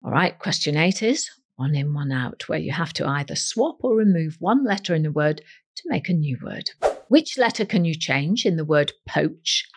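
A woman hosting a quiz in a scripted, playful style, speaking directly to listeners with a husky voice. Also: low voice, animated, normal speed. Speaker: quiz show host.

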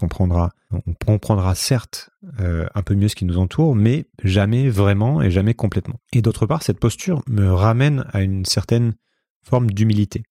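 A man of about forty with a Parisian French accent hosts a solo podcast in a very deep, husky voice. He talks conversationally, thinking things through with a modest, reflective air. The recording is close and dry. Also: dark tone, no echo. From France, Paris region. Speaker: podcast host.

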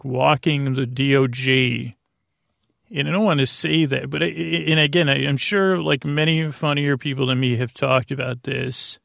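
Meandering man with a gravelly, creaky voice. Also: mid-range voice, fairly bright tone, no echo, studio quality. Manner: meandering, halting, self-interrupting with tangents. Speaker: podcast host. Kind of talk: rambling bedtime monologue to help listeners sleep.

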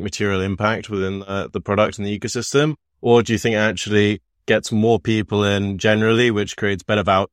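A man with a somewhat low voice hosts an interview. He asks long, layered questions and often restarts as he goes. The sound is dry and close. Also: no room sound.

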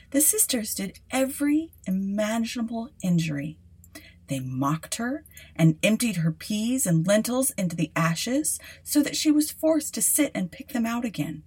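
A woman's voice: mid-range voice, darkish tone, husky. A woman podcast host reading a fairy tale aloud as dramatic scripted narration.

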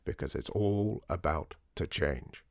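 A man voicing a shrewd former store owner who talks in a measured, knowing, slightly theatrical way. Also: very deep voice, vocal fry, a little mellow, no room sound, studio quality, pitched below the performer's own voice, heavily acted. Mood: wry.

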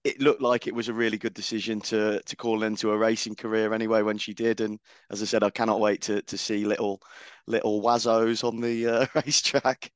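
A man with a croaky voice, co-hosting a podcast in loose, casual chat. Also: fairly low pitch, flat delivery, a little fast, dry recording. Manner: chatty, loose, with many restarts. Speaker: co-host.

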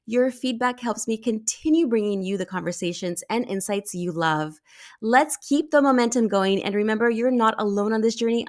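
A woman in her forties hosting a podcast, chatting casually, bubbly and quick to laugh. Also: on the high side. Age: 40s. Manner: bubbly, laughs often, lively exclamations. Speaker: podcast host. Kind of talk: casual interview chat.

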